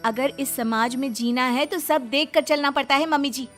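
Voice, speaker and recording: A woman plays an indignant young family daughter-in-law in a Hindi story drama. Her voice sits fairly high and she scolds reproachfully, worried about family honour. Light music plays behind her. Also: normal speed, lightly acted.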